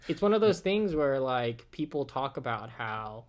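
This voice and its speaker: A man in his late twenties with a Californian American accent and a medium-pitched voice. He talks casually and loosely, circling around his points with plenty of "like" and some casual swearing, as a guest in conversation. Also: sing-song, unhurried. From Oakland, California, USA. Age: 20s. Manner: rambling, frequent "like", casual swearing. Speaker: podcast guest.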